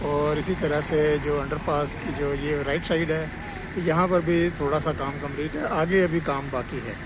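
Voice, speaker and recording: A man's voice: fairly high pitch, steady tone, normal speed. A man with a Pakistani accent giving a matter-of-fact, explanatory on-site road update as a vlogger outdoors. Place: outdoors on a roadside by construction works. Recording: loud outdoor traffic and vehicle noise. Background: traffic, vehicles, outdoor ambience.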